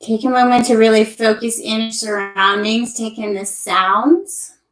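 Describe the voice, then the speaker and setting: Female yoga nidra guide: gentle, leisurely delivery, mid-pitched, roomy amateur recording. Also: steady tone. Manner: gentle, unhurried, soothing instruction. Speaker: meditation teacher. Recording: echoey room, amateur sound quality.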